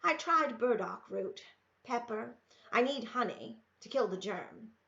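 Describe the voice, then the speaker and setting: A proud, ailing old backwoods woman from a storybook, as read by a female narrator. She is gruff and sharp, answering in blunt, short phrases. The recording is slightly dull and lo-fi. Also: a little high, expressive, measured pace, exaggerated voice. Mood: grave, matter-of-fact.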